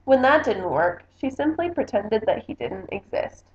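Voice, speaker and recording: A woman's voice: fairly high pitch, lively intonation, moderate pace, darkish tone. A woman book vlogger reading a picture book aloud in a casual, chatty style. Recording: slightly dull, roomy home recording.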